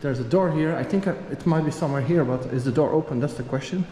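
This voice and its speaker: A man's voice: fairly high pitch, quick pace, warm tone, slight background noise, poor audio. A man in his thirties from Belgium, chatting casually as a travel vlogger while walking around, laughing often. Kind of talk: casual vlog narration. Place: outdoor stadium and its corridors. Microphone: action camera held at arm's length.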